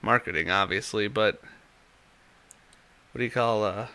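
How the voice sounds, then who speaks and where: A man's voice: lowish voice, a little slow, pushed and bright. A young man with a stuffy nose, co-hosting a casual tech chat and rambling as he loses his train of thought. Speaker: podcast co-host.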